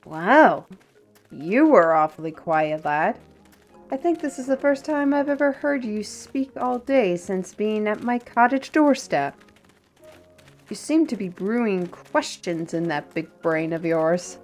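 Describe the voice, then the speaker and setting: A woman plays a worldly female steward in a fantasy audio drama. She is chatty and wry, teasing her companions in a mid-pitched voice. Music plays faintly underneath. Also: lively intonation, takes it slowly. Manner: chatty, teasing, addresses listeners as lass and lad. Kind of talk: fantasy audio roleplay acting. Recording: music behind the voice.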